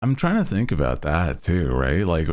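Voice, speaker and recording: A man's voice: deep voice, wildly swooping pitch, moderate pace, a little mellow. A man hosting a livestream, chatting casually with viewers in a rambling, jokey, self-deprecating way. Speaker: VTuber livestream host. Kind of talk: casual chat with stream viewers.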